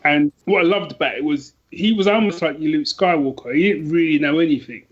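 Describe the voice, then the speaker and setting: A man's voice: on the high side, fairly quick, dull audio. A man chatting casually as an interview guest, self-deprecating and prone to rambling asides. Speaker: podcast guest, author.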